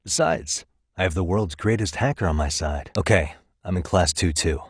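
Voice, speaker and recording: Video game detective, thirties, deep voice, calm, wry, conversational, dry studio sound. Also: male voice, husky, no echo. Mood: casual, focused. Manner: measured, conversational, lightly sardonic. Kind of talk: naturalistic English video game voice acting.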